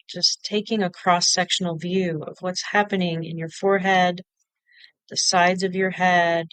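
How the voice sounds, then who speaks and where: A woman leading a guided meditation, giving gentle step-by-step instructions in short phrases with pauses between them. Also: on the low side, clean tone, no echo. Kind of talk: guided body-scan meditation.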